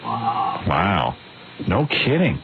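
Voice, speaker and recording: A man's voice: lowish voice, very animated, drawn-out words. A man hosting a radio show, asking a female guest prying, teasing questions and laughing often. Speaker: radio host. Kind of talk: crude radio interview banter. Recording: dull radio recording with clear hiss.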